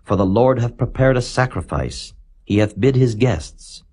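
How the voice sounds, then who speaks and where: A man giving a solemn, measured, declamatory scripture reading as a Bible narrator. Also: medium pitch, lively intonation, mellow, slightly rough.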